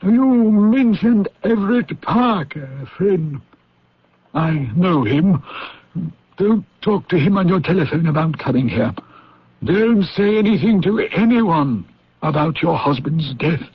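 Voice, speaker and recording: An actor playing a senior British intelligence chief in a radio drama, formal and authoritative, pausing as he gives orders. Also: high-pitched, expressive, breathy edge, dry recording, heavily acted. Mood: grave, instructing. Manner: formal, hesitant pauses, authoritative. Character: senior British intelligence chief, courteous but commanding knight. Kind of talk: old-time radio drama acting. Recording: muffled radio drama recording.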